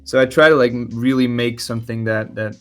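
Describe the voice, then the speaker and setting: A man's voice: medium pitch, steady tone, brisk, a bit bright, soft background music. A young man from Belgium with a Belgian Dutch-speaking accent, a guest musician talking casually and hesitantly, with many fillers and restarts. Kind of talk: casual podcast interview.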